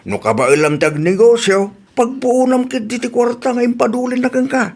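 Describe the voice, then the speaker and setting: A man with a Filipino accent playing an expressive rural male character in a comedy radio drama. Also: very high-pitched, moderate pace, heavily acted. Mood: earnest advising.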